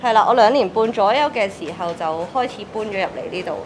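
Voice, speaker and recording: A woman with a Hong Kong Cantonese accent, answering interview questions in a relaxed, casual way. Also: mid-range voice, quick pace, background noise. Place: indoors, small living room.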